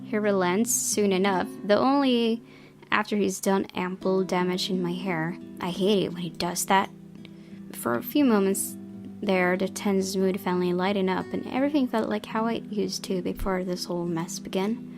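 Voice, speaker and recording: A woman's voice: mid-range voice, fairly even intonation, moderate pace. A young woman reading visual novel narration aloud as the host of a gaming video. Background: game music with guitar.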